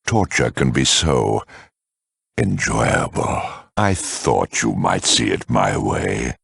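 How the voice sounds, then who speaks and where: An actor voicing a cruel, urbane super mutant lieutenant in a video game, mock-courteous, sardonic and theatrical, with a gravelly, raspy voice. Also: male voice, rumbling low, animated, slow pace, fairly bright tone, no echo, clean studio sound, exaggerated voice. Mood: silky menace. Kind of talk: video game villain dialogue.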